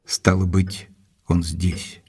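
An actor playing an earnest gentleman doctor in an audio drama, narrating and questioning in a measured, serious, deliberate way. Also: low voice, level tone, breathy edge, heavily acted. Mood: probing, earnest.

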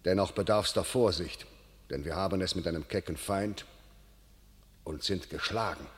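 A stern English general. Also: male voice, low voice, moderate pace, slightly rough. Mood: grave. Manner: commanding declamatory verse. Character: stern English field commander, sharp-tongued. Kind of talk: classic radio play. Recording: long hall reverb.

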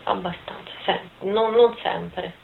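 A woman in her twenties answering interview questions casually, in a hesitant, halting way. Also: medium pitch, steady tone, vocal fry. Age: 20s. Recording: phone-like, thin sound with faint noise.

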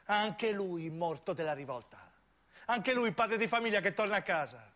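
A man's voice: high voice, expressive, moderate pace, fairly bright tone. A man narrating a dramatic theatrical monologue in intense, solemn, broken phrases. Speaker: narrating stage actor.

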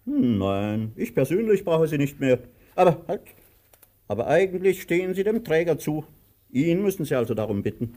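An actor in a radio play voicing a pompous, tyrannical landowner who mixes grandiose speeches with barked commands. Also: male voice, fairly high pitch, big pitch swings, moderate pace, mellow. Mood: imperious. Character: pompous, tyrannical landowner, theatrical and domineering to his servant.

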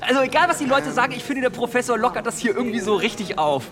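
An actress playing a keen, star-struck woman at a congress, chattering excitably in a radio play. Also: fairly high pitch, rapid-fire, natural voice. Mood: excited admiration. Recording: reverberant hall, music behind.

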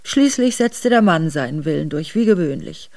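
An actress playing an aristocratic middle-aged Russian woman quietly recounting her memoirs to her son in a radio drama. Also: deep voice, animated, normal speed, dry recording. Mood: troubled recollection. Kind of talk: intimate radio drama narration.

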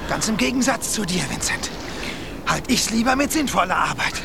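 An actor playing a lively, wry sailor comrade in a polar lifeboat in a radio drama. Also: male voice, high-pitched, moderate pace, vocal fry. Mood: wry teasing. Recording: noisy open boat with lapping water.

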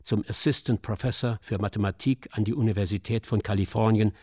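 A man reading scripted documentary narration in a sober, measured, articulate manner. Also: lowish voice, fairly even intonation, mellow, husky, no echo, clean studio sound.

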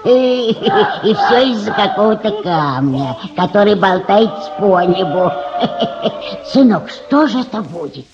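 An actress playing a simple, affectionate older housekeeper in a radio drama, chatty and exclamatory in a folksy way. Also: female voice, medium pitch, animated, slow, background noise. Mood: amused bewilderment. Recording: dull radio recording, loud music and crowd.

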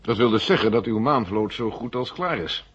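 An actor playing a serious, concerned Western officer in a 1970 radio drama, with a husky, rough voice. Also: male voice, lowish voice, expressive, normal speed, a bit bright, a little hiss or hum. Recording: dull vintage radio recording.

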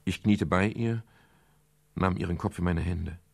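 An actor playing a pensive, matter-of-fact private detective in a German radio crime drama, speaking in a measured, sober way. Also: male voice, deep voice, gravelly, darkish tone, no room sound, exaggerated voice. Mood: sober, tense. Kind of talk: scripted first-person radio crime narration.

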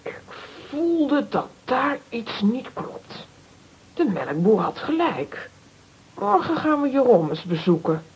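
An actress playing an excitable, worried but determined aunt in a children's audio drama. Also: female voice, on the high side, slow, husky, full character voice, low-quality recording. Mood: concerned, determined.

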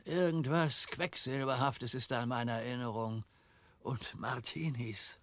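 An actor playing a bored, decisive film producer in a radio drama. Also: male voice, mid-range voice, fairly even intonation, a little slow, no room sound, natural voice. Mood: dry, sociable.

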